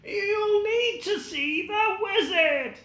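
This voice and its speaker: A storyteller voicing a kind, welcoming woman in a storybook, giving directions in a high, airy voice. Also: slow, fairly bright tone, roomy sound, heavily acted. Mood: helpful.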